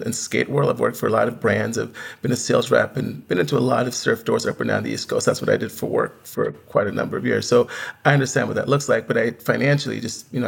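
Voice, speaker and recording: A man from Barbados calling into an advice show, speaking earnestly and haltingly with many fillers. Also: mid-range voice, creaky, slightly rough. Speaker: caller, surf shop founder. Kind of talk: casual call-in chat.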